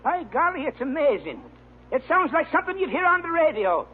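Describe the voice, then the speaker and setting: A genial, slightly slow-witted policeman in a 1940s radio comedy. He talks quickly and courteously in a very high voice, on a narrow, muffled old recording. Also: very animated, slight background noise. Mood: genial, official.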